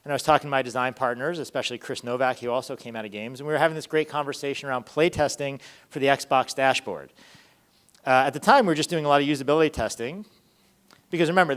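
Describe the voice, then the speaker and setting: Guy doing a conference talk, really quick talker, kinda low voice, chatty and informal. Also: a bit bright. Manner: conversational, checks in with rhetorical "right?". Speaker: user experience research lead giving a conference talk.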